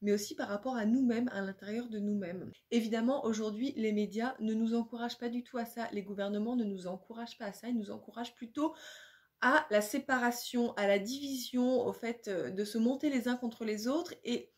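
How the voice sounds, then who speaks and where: A woman hosting a video, speaking in a reflective, earnest and explanatory way with measured phrasing. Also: a little high, darkish tone. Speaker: book-review video host. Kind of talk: reflective book recommendation to camera, with some read-aloud.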